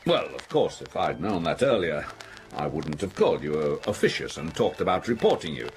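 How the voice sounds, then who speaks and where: Pompous, blustering father, swooping pitch. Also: big pitch swings, moderate pace, slight background noise. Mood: blustering, wary. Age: middle-aged. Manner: blustering, authoritative. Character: pompous, irritable middle-aged English factory-owning father. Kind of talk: radio drama acting. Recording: music under the voice.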